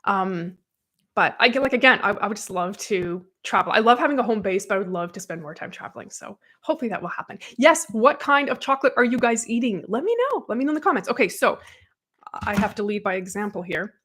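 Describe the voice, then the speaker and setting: A woman's voice: mid-range voice, sing-song, fast talker, a bit bright. A young adult woman, podcast co-host, talking casually and rambling with lots of fillers and self-deprecating asides. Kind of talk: casual chat.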